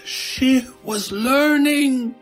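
Bereft shopkeeper, husky, very slow, emotional, reproachful, horror radio play, music faintly behind. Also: male voice, extremely high pitch, full character voice. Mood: shocked, grieving. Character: grieving, protective shopkeeper, bitter at injustice. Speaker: voice actor. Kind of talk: audio horror drama acting.

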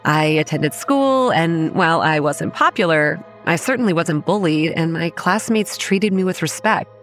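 An actress playing a haunted, wary young campground manager, narrating a horror audio drama in a measured, reflective, grave way. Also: female voice, low voice. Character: haunted, wary young woman running a monster-ridden campground. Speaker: narrator and lead character.

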